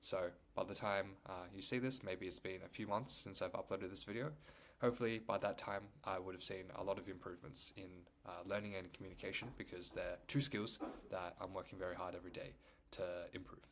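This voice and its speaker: A young man talks casually to his camera in a fairly low voice. His first language is Chinese, and his sentences wander and restart. He fills them with "like" and "you know". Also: steady tone, brisk. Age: young adult. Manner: rambling, full of "like" and "you know". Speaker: YouTuber.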